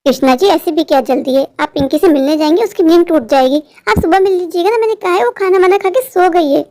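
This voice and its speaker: A woman's voice: extremely high pitch, quick pace, warm tone, no room sound, studio quality. A woman voicing a cruel, deceitful young stepmother in a cartoon melodrama, with a sarcastic, self-satisfied manner. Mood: evasive, placating. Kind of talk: melodramatic Hindi cartoon moral story.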